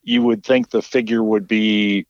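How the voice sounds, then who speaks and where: Low-voiced guy co-hosting on radio, agreeable, kinda muffled dry audio. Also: fairly even intonation, measured pace, no room sound. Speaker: radio co-host. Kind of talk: casual radio talk. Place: radio studio.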